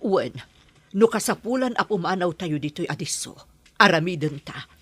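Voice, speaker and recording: A woman's voice: fairly low pitch, animated, normal speed, fairly bright tone, breathy edge, dry recording. A woman acting an anxious, protective wife and mother in an Ilocano audio drama. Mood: anxious, determined. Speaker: actor in a drama.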